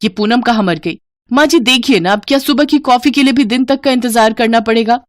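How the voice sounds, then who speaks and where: Woman as spoiled, shrill young rich wife. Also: a little fast, dry recording, studio quality, natural voice. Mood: demanding. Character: spoiled, haughty rich young daughter-in-law. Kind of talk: cartoon moral story dialogue.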